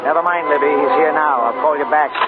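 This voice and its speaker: Actor as brisk, exasperated studio boss. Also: male voice, fairly high pitch, steady tone, loud music behind the voice, lightly acted. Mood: alarmed, brisk. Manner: earnest, authoritative. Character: concerned, exasperated male movie studio boss. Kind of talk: 1940s radio drama acting. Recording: narrow-band 1940s radio broadcast.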